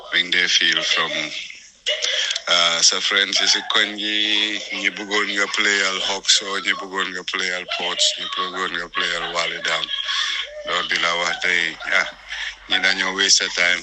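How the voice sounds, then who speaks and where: A man with a Gambian accent explaining his view in a casual discussion, switching between two languages. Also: low-pitched. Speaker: panel discussion participant. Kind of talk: casual discussion, mixing English and Wolof. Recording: faint background noise, radio-like sound.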